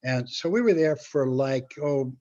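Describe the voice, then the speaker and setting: A man's voice: medium pitch, measured pace, warm tone. An older man telling a casual, rambling show-business anecdote, acting out the quotes as he goes. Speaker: storyteller, show-business veteran. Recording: dull, lo-fi sound.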